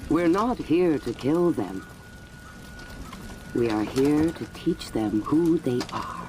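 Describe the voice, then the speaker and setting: An actor playing a cold, calculating warlord who preaches war in a measured, deliberate way for a video game cutscene. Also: male voice, fairly high pitch, animated, noisy background. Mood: cold conviction. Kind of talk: cinematic video game acting.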